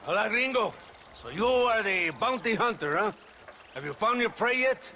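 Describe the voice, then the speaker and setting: Pompous mexican dictator in a video game, high voice all over the place, sneering and volatile, mexican accent. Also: male voice, lively intonation, normal speed, pushed and bright, slight background noise, exaggerated voice. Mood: taunting. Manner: theatrical, sneering, mixes Spanish into English. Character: menacing, pompous Mexican military tyrant, mocking and volatile. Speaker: video game character actor. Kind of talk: dramatic game cutscene acting.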